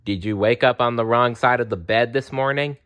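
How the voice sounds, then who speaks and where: A man's voice: mid-range voice, a little fast, a bit bright, clean tone, dry recording, lightly acted. A man voicing a concerned storybook father in a plain, sympathetic manner. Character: concerned father of a young girl.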